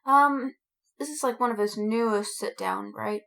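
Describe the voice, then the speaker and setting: A woman with a fairly high voice chats casually as a podcast co-host. She gushes like a fan among friends and stretches words out for emphasis. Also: normal speed, gravelly, a bit bright.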